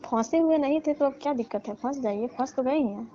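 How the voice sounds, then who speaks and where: A woman plays a flirtatious middle-aged mother-in-law, coaxing and sly, her voice sitting fairly high. She keeps sinking toward hushed, whispery tones. It comes through a dull, lo-fi phone call recording. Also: brisk, natural voice. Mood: defensive. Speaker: comedy call actor. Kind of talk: staged comic phone call.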